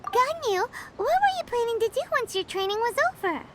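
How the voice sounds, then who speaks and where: A woman voicing a chirpy, childlike little fairy companion in a video game, squeaky and excitable. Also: brisk, a little hiss or hum. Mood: curious. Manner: excitable, exclaiming. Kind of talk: video game character acting.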